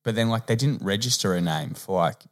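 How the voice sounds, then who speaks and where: A man with an Australian accent co-hosting a podcast, telling anecdotes with drawn-out asides in casual banter. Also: on the low side. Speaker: podcast co-host.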